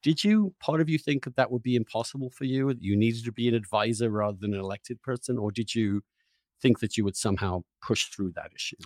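A man's voice: medium pitch, brisk, darkish tone, no room sound. A man hosting a conversational interview podcast, feeling his way through questions and rephrasing as he goes. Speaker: podcast host.

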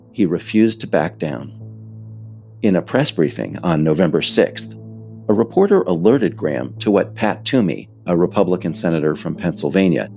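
An American man reading scripted narration as the author of a book, in a measured, emphatic storytelling manner. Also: sits low, creaky, darkish tone.

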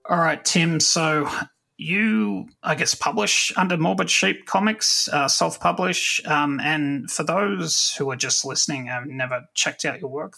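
Male podcast host, bright but raspy voice with plenty of fry, casual interviewing style. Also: a little high.

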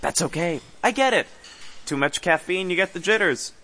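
A man's voice: high-pitched, expressive, moderate pace, sharp tone, heavily acted. A man playing an earnest, chatty park ranger in his twenties on a date in a romantic comedy audio play, hesitant, rambling and blunt. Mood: friendly, nervous. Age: 20s.

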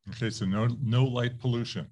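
A man's voice: medium pitch, steady tone, fairly quick, darkish tone, highs cut off. A man hosting an interview, asking casual questions with a joking, teasing edge.

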